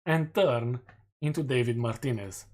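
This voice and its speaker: A man's voice: mid-range voice, a little slow, a little mellow. A man with a Romanian accent, a streamer casually going over community poll results with self-mocking jokes. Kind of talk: casual chat reading community polls.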